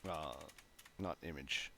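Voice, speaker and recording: A man's voice: very deep voice, steady tone, takes it slowly, sharp tone, a little hiss or hum. A man with a phlegmy throat walking through code as he types, thinking aloud with fillers and self-corrections. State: phlegmy, clearing his throat. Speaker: programming tutorial host. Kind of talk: casual coding walkthrough. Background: keyboard typing and clicks.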